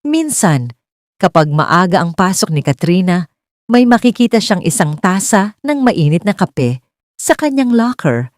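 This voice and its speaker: Woman narrating a romance, low and expressive. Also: a little slow. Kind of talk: scripted romance story narration.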